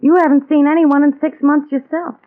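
An actress playing an earnest, wistful but determined wife in a 1940s radio mystery, gentle yet insistent. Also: female voice, high-pitched, flat delivery, fairly quick, natural voice. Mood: subdued. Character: trusting, wistful but determined wife missing her mother. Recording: tinny band-limited 1940s radio recording.

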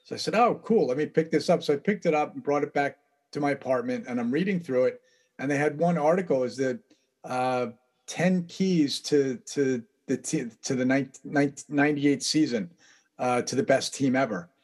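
A man as a podcast guest, telling long stories in a relaxed, rambling way with frequent laughs. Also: medium pitch, normal speed, slightly rough. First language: English. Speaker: guest, baseball interpreter. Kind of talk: casual storytelling interview.

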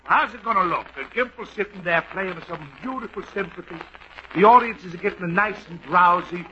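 A man's voice: high voice, normal speed. An actor playing a scheming, boastful immigrant man in a radio comedy, with a wheedling, theatrical manner. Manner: wheedling, theatrical, swings from loud to hushed. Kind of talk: 1940s radio acting. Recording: dull old radio broadcast with clear hiss.

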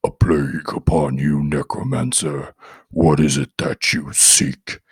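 A man voicing a menacing demon speaking through a possessed boy, slow and deliberate. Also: extremely low pitch, sing-song, slightly rough, no room sound, clean studio sound, heavily acted.

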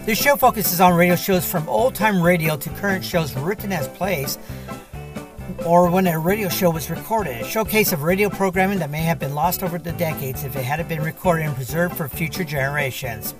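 Higher-voiced male radio host over music. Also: a little fast. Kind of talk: scripted introduction to an old-time radio program.

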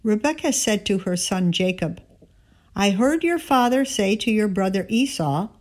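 A woman giving a scripted Bible reading, narrating and voicing the dialogue in deliberate, measured phrasing. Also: mid-range voice, expressive. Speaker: scripture reader.

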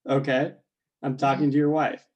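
A man with a medium-pitched voice performs improvised comedy. His delivery is deadpan and polite, with hesitant phrasing. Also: normal speed, breathy edge, dull audio.

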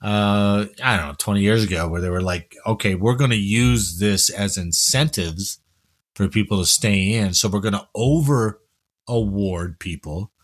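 A man from New Jersey hosting a casual news chat, jokey and quick to laugh. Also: on the low side. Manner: jokey, laughs often, tells stories. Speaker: podcast host.

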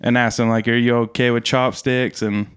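A man's voice: medium pitch, level tone, moderate pace, clean tone, no echo. A young man telling rambling stories in casual chat, laughing and swearing, tired from staying up all night.

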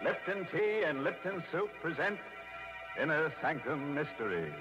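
A man's voice: a little high, big pitch swings, normal speed, over music. A man announcing a vintage suspense radio show, on an old lo-fi broadcast recording. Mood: dramatic.

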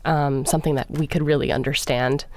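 A woman singer in a radio interview, speaking thoughtfully and pausing often to find her words. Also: a bass voice, fairly even intonation, dry recording. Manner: thoughtful, searching for words with many fillers. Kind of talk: interview conversation.

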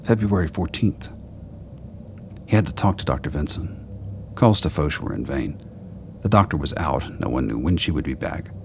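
A man's voice: very deep voice, lively intonation, normal speed, long pauses, a little mellow, slight background noise. A man narrating a radio play as a scripted story in the third person.

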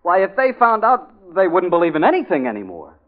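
A soft-hearted American movie cowboy in a 1940s radio play. He speaks with earnest feeling and very mobile intonation. The sound is thin and tinny. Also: high-pitched, wildly swooping pitch, fairly quick. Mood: earnest, worried. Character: earnest, sentimental cowboy movie star hiding a fear. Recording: tinny, band-limited vintage radio recording.

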